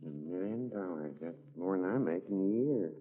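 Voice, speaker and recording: An actor playing a meek, hesitant small-town druggist in a 1940s radio comedy, halting and folksy. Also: male voice, low-pitched, lively intonation. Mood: mild, dry. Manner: halting, drawn-out, folksy, noncommittal. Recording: muffled 1940s radio recording, studio audience.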